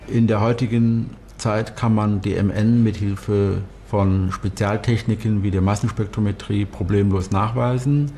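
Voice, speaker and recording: A man's voice: fairly low pitch, fairly even intonation, a little slow, hardly any pauses, warm tone. A man giving explanatory expert commentary for a documentary. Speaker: expert interviewee in voice-over translation.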